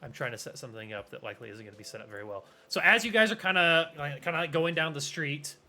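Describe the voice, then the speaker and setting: Bright-voiced young game master rambling. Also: male voice, on the high side, animated, fast talker. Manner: rambling, many fillers and restarts. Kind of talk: casual tabletop game narration.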